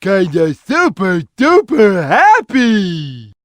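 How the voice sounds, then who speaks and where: A man's voice: high-pitched, huge pitch swings, dry recording, heavily acted. A man voicing a big, easygoing, simple-minded cat in a video game, with a childlike drawl. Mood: simple wonder. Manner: slow-witted, childlike, drawling. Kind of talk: video game character voice lines.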